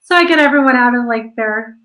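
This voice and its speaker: A woman hosting an online radio interview, chatty and quick, laughing often. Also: on the high side, sharp tone. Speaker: host of an online radio show. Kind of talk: casual chat.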